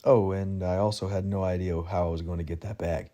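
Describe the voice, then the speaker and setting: A man's voice: low voice, steady tone, brisk, dark tone. A man reading a first-person audiobook story in a wry, self-mocking tone. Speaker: narrator.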